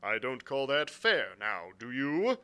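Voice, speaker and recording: A man's voice: medium pitch, big pitch swings, takes it slowly, sharp tone, clean tone, pitched above the performer's own voice, heavily acted. A man voicing a stern, stubborn ship's captain in an adventure story, clipped and plain-spoken. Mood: blunt, disapproving.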